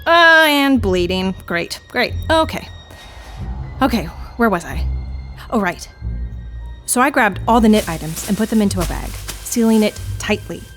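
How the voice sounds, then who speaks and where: Casting: actress for a dramatic, frazzled woman confessing a strange bargain, medium pitch, wry and theatrical, audio drama monologue. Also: expressive, unhurried, a bit bright, faint music behind. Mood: troubled, confiding.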